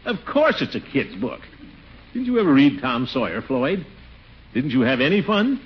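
Actor as a blustery, swooping radio sitcom uncle. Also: male voice, mid-range voice, big pitch swings, moderate pace, close to an everyday voice. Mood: self-satisfied. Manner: pompous, blustery, theatrical exclamations. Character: blustery, excitable, fretful middle-aged uncle, pompous small-town man. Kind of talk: 1940s radio sitcom acting. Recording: dull, noisy 1940s radio recording.

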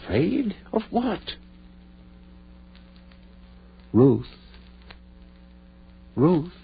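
An actor playing a confident, intense scientist husband in a theatrical 1940s radio horror drama. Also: male voice, a little high, big pitch swings, very slow, vocal fry, exaggerated voice. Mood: pleading, distraught. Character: driven scientist husband who revived his dead wife. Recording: muffled, noisy 1940s radio recording with hum.

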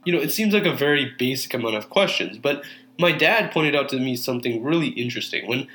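A man's voice: medium pitch, animated, fast talker, sharp tone. A young man, Urdu-speaking family background, delivering an earnest monologue that urges listeners to act, with frequent fillers and restarts. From Canada.

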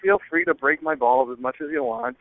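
A man caller leaving a casual, rambling voicemail in hesitant, halting phrases over a phone line. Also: on the high side, big pitch swings, gravelly, over a noisy crowd. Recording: thin, dry phone line.

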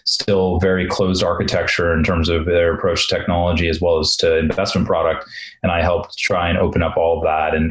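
A man who grew up in Europe, a fund founder, telling his career story as a guest in a relaxed, rambling way with many fillers. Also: sits low, rough recording. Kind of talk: conversational podcast interview. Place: home office.

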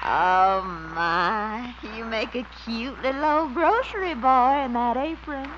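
An American actress playing a coy, fluttery, wheedling sweetheart in 1950s radio comedy, sugary and dithering with drawn-out exclamations. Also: female voice, fairly high pitch, lo-fi sound. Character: coy, fluttery, wheedling sweetheart who bosses her man. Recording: noisy, band-limited old radio recording.

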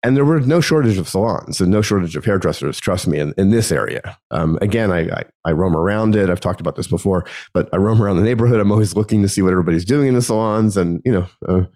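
A man hosting his own podcast alone, talking through a topic in a relaxed conversational way with many ums and restarts, his voice gravelly from a cold. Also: deep voice, quick pace, hardly any pauses. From United States. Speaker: podcast host. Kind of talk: solo podcast monologue.

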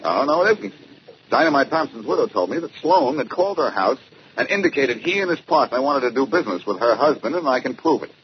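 An actor playing a confident, wisecracking amateur detective in a 1940s radio crime drama. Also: male voice, medium pitch, very animated, fast talker, natural voice. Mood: accusing. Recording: muffled, noisy old radio recording.

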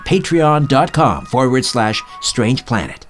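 A man's voice: medium pitch, big pitch swings, takes it slowly, soft background music. A man hosting an interview podcast, speaking as a radio presenter to guests and listeners. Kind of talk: interviewing guests and scripted show sign-off.

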